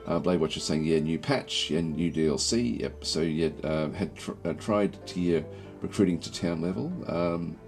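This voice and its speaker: Male streamer, very low gravelly croak, thinking aloud in halting casual game commentary, faint music behind. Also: a little mellow. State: croaky throat, recovering from feeling unwell. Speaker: livestream host playing a strategy game. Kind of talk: casual game commentary, reading and answering chat.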